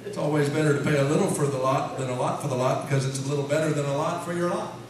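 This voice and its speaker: A man playing a slow-witted, folksy small-town fellow who rambles literally in a comic radio sketch. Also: fairly high pitch, steady tone, lightly acted. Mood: rambling, obtuse. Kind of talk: comic radio-show sketch before a live audience. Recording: echoey noisy hall, distant microphone.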